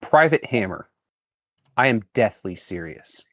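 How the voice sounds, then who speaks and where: A man playing a tabletop roleplaying game, speaking in character with formal, deliberate phrasing. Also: fairly low pitch, sing-song, fairly bright tone, no room sound.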